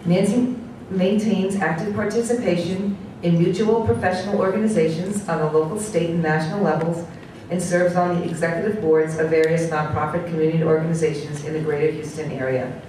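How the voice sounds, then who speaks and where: Woman reading an introduction in a booming hall. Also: fairly even intonation, fairly quick, dark tone, slight background noise. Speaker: event organizer introducing the speaker. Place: large conference hall. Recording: very echoey hall, voice from a distance.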